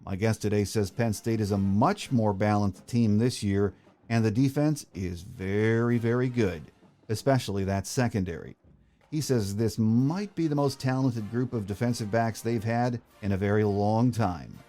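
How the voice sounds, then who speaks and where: A man's voice: lowish voice, normal speed, dark tone. A man hosting a sports podcast, giving his commentary and interviewing a guest. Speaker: podcast host.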